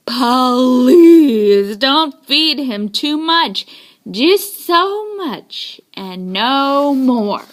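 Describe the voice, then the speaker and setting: Woman as a slow, scolding know-it-all man. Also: high voice, lively intonation, full character voice. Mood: stern warning. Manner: admonishing, deliberate.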